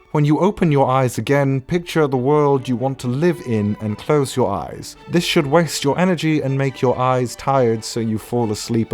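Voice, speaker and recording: Haughty, animated butler played by a man. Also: mid-range voice, natural voice. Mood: sarcastic. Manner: pompous, condescending, theatrically exasperated. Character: Sebastian, haughty, sardonic butler roasting his viewers' submissions. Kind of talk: theatrical comic roast reading.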